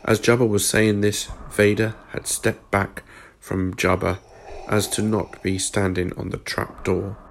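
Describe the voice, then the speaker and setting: A man's voice: fairly low pitch, flat delivery, unhurried, a little hiss or hum. A man reading scripted narration for a space fantasy story, as its narrator. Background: music under the voice.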